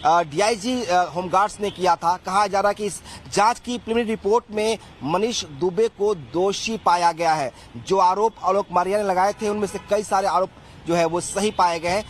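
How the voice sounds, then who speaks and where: A man's voice: sits high, moderate pace, a little hiss or hum. A male field reporter reading a formal news report.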